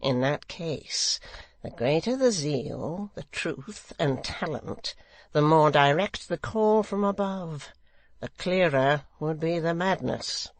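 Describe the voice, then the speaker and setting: A reader voicing a meek but severe male secretary, a sly, sanctimonious flatterer with unctuous, drawn-out emphasis. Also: mid-range voice, dry recording. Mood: sly flattery.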